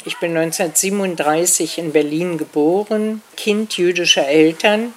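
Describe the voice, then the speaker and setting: A woman in her eighties, a survivor, speaking slowly and solemnly as she recalls her childhood and recites a poem. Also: lowish voice, slight background noise. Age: 80s. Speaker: Holocaust survivor and poet.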